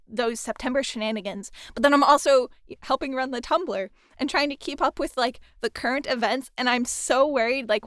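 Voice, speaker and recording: A woman's voice: fairly high pitch, fairly bright tone, clean tone, dry recording, studio quality. A woman hosting a casual interview, chatting in a playful, joking way. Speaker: podcast host and interviewer.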